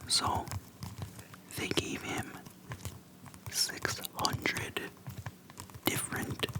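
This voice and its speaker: An ASMR host speaking in a soft, breathy unvoiced whisper, slow and hushed with pauses between short phrases, close to the microphone. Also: poor audio. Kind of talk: whispered ASMR, relaxed personal chat. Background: tapping on a plastic case.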